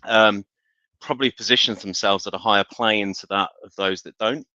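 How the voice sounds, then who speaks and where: Male webinar expert, forceful low voice, explanatory, slightly dull call audio. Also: fairly even intonation, moderate pace, no echo. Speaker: industry expert panelist. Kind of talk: conversational business webinar discussion. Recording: dull, band-limited online call audio.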